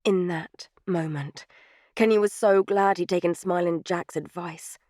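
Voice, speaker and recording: A woman's voice: fairly low pitch, fairly even intonation, a little slow, darkish tone, dry recording, studio quality. A woman reading scripted third-person fiction as an audiobook narrator.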